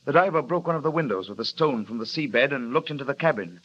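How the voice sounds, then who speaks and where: An actor playing a dutiful middle-aged harbour master in an old radio drama, tactful and hesitant. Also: male voice, medium pitch, animated, fast talker, highs cut off, natural voice. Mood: grave.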